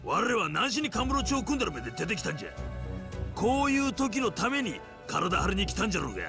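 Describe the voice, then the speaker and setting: An actor playing a middle-aged yakuza captain in a video game, gruff and swaggering, with a rough Hiroshima accent and a scolding, loyal temper. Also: male voice, high voice, very animated, fast talker, music in the background. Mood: scornful, cocky. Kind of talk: Japanese video game voice acting.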